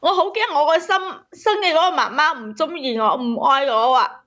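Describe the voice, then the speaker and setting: High voiced woman phoning in w her personal story, plaintive n kinda aggrieved. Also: moderate pace, fairly bright tone. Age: 30s. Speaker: caller.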